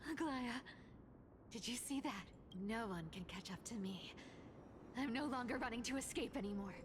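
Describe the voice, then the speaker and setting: A woman's voice: a little high, normal speed, noisy background, natural voice. A woman voicing a cocky young female thief in a video game, a defiant trickster with a taunting, theatrical delivery. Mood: teasing, confident. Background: game music.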